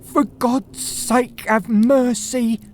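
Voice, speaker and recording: A man voicing a terrified young male thief who begs and stammers in a high, crackling voice, with faint hiss behind. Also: measured pace. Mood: desperate pleading. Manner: shrieking, stammering, begging.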